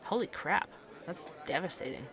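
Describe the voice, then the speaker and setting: A man's voice: on the high side, animated, normal speed, creaky, a crowd in the background. An actor playing an earnest, curious young man who hosts and narrates an investigative podcast in an audio drama. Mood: frank, uneasy.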